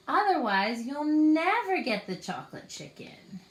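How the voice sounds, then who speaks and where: A woman's voice: sits high, sing-song, unhurried, pitched above the performer's own voice. A woman voicing a bossy, exasperated big-sister bunny girl who scolds and calls out in a children's storybook read-aloud. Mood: scolding. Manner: scolding, calling out. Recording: roomy echo.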